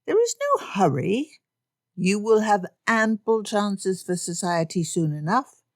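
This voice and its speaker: A woman's voice: medium pitch, big pitch swings, slow, dry recording, clean studio sound. A woman voicing an indulgent mother in a light, lifted tone. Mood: soothing. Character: fussy indulgent mother, lively.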